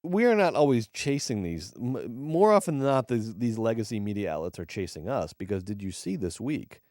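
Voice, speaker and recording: Youngish guy on a movie podcast, lowish voice, swears a lot, gets loud and worked up, dry close mic. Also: normal speed, no room sound. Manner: excitable, swears often, swings loud and high when ranting. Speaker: podcast co-host. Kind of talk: casual movie podcast banter.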